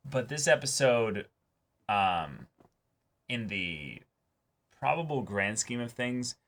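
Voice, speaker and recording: A young adult man in a casual discussion as a reaction show host, reasoning things out in a measured, analytical way. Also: mid-range voice, big pitch swings, fairly bright tone. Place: small indoor room, seated before a backdrop.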